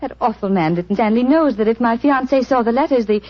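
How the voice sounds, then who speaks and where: A woman acting a well-bred young lady in a 1940s radio play. She is forthright and coaxing, with a fairly high voice, heard through a dull vintage broadcast recording. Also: fast talker, natural voice. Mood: troubled.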